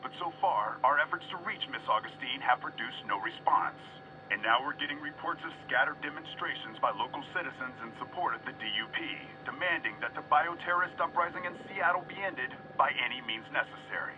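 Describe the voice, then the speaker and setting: An actor playing an earnest American TV field reporter in a video game, with measured, urgent, formal delivery. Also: male voice, medium pitch, expressive, lo-fi sound, background noise, lightly acted. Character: earnest male television news field reporter. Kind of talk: formal live news report. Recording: band-limited TV broadcast, music behind.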